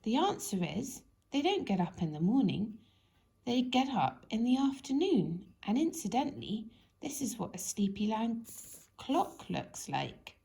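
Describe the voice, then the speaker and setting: A woman reading a children's bedtime story expressively, in a gentle, drawn-out way that drops into hushed tones. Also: on the high side, big pitch swings, gravelly. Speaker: storybook reader.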